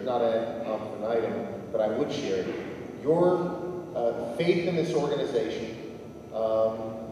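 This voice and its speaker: A man on a panel giving a measured, somewhat hesitant answer. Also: medium pitch, warm tone, slight background noise. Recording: echoey hall, distant mic.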